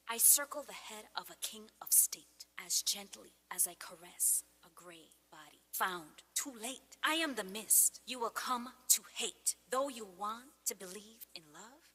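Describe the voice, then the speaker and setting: Husky, brooding schoolgirl, acted by a woman in reverb. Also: medium pitch, lively intonation, unhurried, few pauses, pushed and bright, heavily acted. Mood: eerie, brooding. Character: brooding schoolgirl reciting her dark poem. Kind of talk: dramatic poetry recital in radio drama. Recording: long cavernous reverb on the voice.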